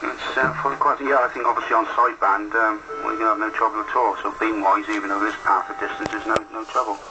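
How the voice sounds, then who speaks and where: Casting: male radio ham, low monotone voice, rambling and matter-of-fact, coming through a two-way radio. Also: fast. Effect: heard over a two-way radio. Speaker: amateur radio operator. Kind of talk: casual radio contact chat. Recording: noisy two-way radio transmission through a speaker.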